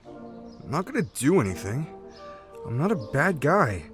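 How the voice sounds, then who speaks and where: A man's voice: a little high, big pitch swings, measured pace, fairly bright tone, faint music behind. A man voicing an easygoing young male rat drifter who talks in a laid-back, friendly, chatty way in a fan comic dub. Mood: persuasive.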